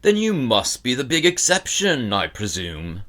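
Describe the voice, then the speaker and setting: Man acting cranky older storybook shopkeeper, gruff, scornful, forceful, swooping pitch. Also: wildly swooping pitch, a little slow. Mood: scornful, irritated. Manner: growling, grumbling, brusque and scornful. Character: gruff, grumpy older male bookshop owner who dislikes children. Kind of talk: audiobook character acting.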